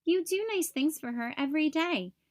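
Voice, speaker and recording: Picture-book turtle father played by a woman: high voice, kindly, reassuring, practical. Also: lively intonation, normal speed.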